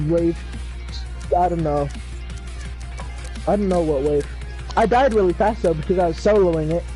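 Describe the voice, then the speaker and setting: A man chatting casually during a game livestream, rambling with fillers and swearing, his voice going hoarse. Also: high voice, dark tone, rough recording. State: losing his voice. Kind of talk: casual chat.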